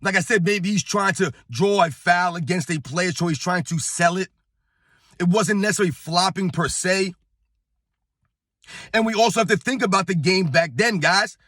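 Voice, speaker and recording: Hoarse, bright-voiced man ranting about sports. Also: high voice, moderate pace, no room sound, clean studio sound. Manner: emphatic, repetitive, addresses viewers as guys. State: hoarse, losing his voice. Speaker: sports channel host. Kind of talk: casual talking-head rant. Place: on vacation at a beach.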